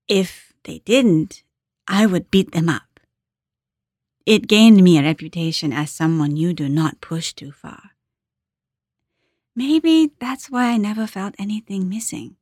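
A woman acting as a daughter voicing her deaf diver mother's story in a measured, intimate dramatic monologue with deliberate pauses. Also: medium pitch, darkish tone, dry recording, studio quality. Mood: quietly defiant.

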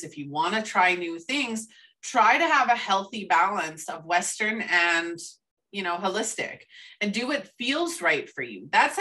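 Canadian woman co-host, eager and chatty, keeps restarting her thoughts, bright tone, sits a little off the mic. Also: medium pitch, distant mic. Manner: enthusiastic, rambling, frequent restarts. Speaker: podcast co-host. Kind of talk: casual podcast chat.

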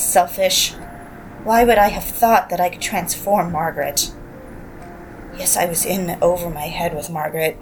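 A woman's voice: medium pitch, a little hiss or hum, close to an everyday voice, low-quality recording. A woman playing a self-reproaching young woman who narrates her story in a reflective, confessional, dramatic way. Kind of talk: scripted first-person drama narration.

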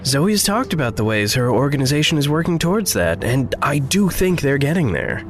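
A very low-voiced, dry fugitive. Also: female voice, sing-song, normal speed. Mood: measured. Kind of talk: audio drama dialogue.

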